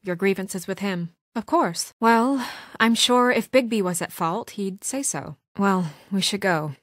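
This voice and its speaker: An actress playing a composed, dutiful and easily exasperated young woman in a video game, earnest and caring, with crisp, businesslike delivery. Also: mid-range voice, unhurried, no echo, close to an everyday voice. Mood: composed, firm. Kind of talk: naturalistic video game voice acting. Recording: dry studio recording.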